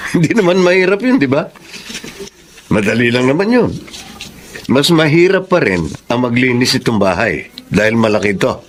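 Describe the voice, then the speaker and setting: A man playing a smoothly persuasive but firm household employer in a radio drama, with a Filipino accent. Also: medium pitch, very animated, normal speed, pauses often, slight background noise, lightly acted. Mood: coaxing. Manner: coaxing yet firm.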